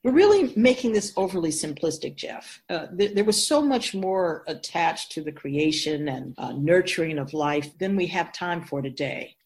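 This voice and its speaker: Woman as low, lively-voiced wise spirit guide. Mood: didactic. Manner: patient, didactic, knowing, measured phrasing. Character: Keeper of Souls, wise otherworldly spirit in female voice. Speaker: spirit guide character in a radio drama. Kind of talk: radio drama dialogue, explanatory.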